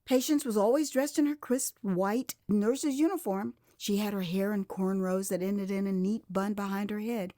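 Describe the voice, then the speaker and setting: An American woman narrating a first-person memoir as audio drama, with an expressive, theatrical delivery. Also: medium pitch, moderate pace, hardly any pauses, dry recording, studio quality. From United States. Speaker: author and narrator.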